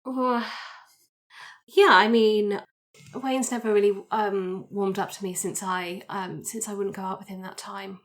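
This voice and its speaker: A woman's voice: mid-range voice, fairly even intonation, unhurried, natural voice. A woman playing an evasive, flustered woman in an improvised tabletop roleplay, stammering and hesitant. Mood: flustered, defensive. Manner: hesitant, stammering, self-interrupting.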